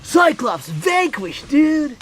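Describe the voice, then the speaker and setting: Man acting a young game heroine, high voice, swooping intonation, plucky, indignant, theatrical. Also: big pitch swings, takes it slowly, a bit bright, slight background noise, exaggerated voice. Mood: triumphant. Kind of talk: video game character dialogue.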